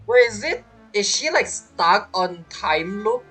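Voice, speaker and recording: A woman streaming a video game with casual, bantering reaction commentary in a husky, expressive voice. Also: mid-range voice, slow pace. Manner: expressive, bantering, repeats words. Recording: game music behind voice. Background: video game music.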